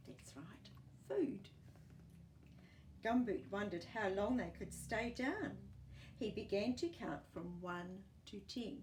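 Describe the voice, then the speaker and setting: A woman reads a children's story at an unhurried pace, with a very lively rise and fall. She speaks encouragingly to little listeners, slipping in chatty asides. There is faint background noise. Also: mid-range voice, reverberant. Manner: animated, encouraging, asks the listener questions. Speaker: storyteller reading to young children. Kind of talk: expressive picture-book storytime reading with asides to children.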